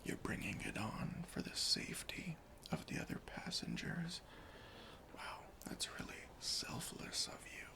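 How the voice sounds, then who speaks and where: A man whispering an ASMR roleplay as a deadpan airport security officer, breathy and deliberate, with pauses. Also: on the high side. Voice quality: breathy whisper with some fry. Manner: slow, hushed, deliberate, with pauses.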